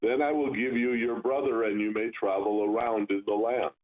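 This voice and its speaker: A man's voice: on the low side, fairly even intonation, normal speed, natural voice. A man reading a stern ruler giving orders. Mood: commanding. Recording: tinny phone-like radio sound.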